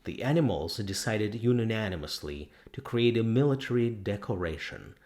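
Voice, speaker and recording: A man's voice: lowish voice, animated, normal speed, a little mellow. A man reading a novel aloud as scripted dramatic narration. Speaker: audiobook narrator.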